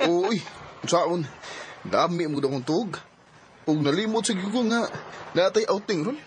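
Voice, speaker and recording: A young Cebuano boyfriend in a radio drama, expressive and questioning, trusting yet capable of suspicion. His pitch sits high and swings widely, over faint noise. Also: a little slow. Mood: curious. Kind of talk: Filipino radio drama acting.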